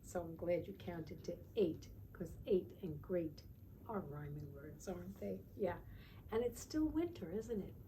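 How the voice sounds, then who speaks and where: Woman, children's storytime teacher. Soft-edged mellow tone, sing-song read-aloud with little questions to the listeners, slight background noise. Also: on the low side, lively intonation, measured pace, low-quality recording.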